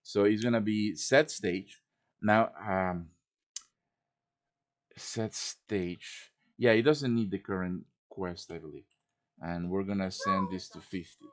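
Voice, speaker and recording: A man with a fairly low voice hosts a casual live tutorial. He talks through each step in a chatty, unscripted way. He often tags his explanations with a quick check that listeners follow. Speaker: host teaching game quest design. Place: live stream over online voice chat.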